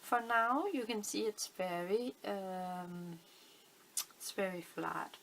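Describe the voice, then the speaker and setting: Slow, gravelly Danish woman crafting. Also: medium pitch, fairly bright tone, slight background noise. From Denmark. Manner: unhurried, thinking aloud with many fillers. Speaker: crafting channel host. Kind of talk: casual craft tutorial. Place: indoors at a table, hands on camera.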